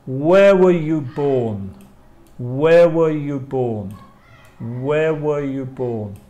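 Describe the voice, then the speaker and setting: A man's voice: medium pitch, darkish tone. A man with an English accent teaching a language lesson, enunciating carefully and repeating phrases. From England. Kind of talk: live online language lesson, reading model questions and answers.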